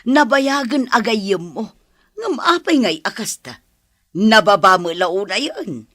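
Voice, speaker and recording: A woman's voice: medium pitch, expressive, takes it slowly, fairly bright tone, dry recording, studio quality. A woman with an Ilocano accent playing an aggrieved villager in a radio drama. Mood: indignant. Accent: Filipino, Ilocano. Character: aggrieved adult villager haggling over produce prices.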